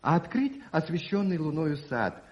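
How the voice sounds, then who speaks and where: An actor playing an exuberant uncle who merrily organises a family pantomime in a radio drama. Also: male voice, fairly high pitch, big pitch swings, moderate pace. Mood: excited, scheming. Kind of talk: theatrical radio drama acting. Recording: dull 1980s radio play recording.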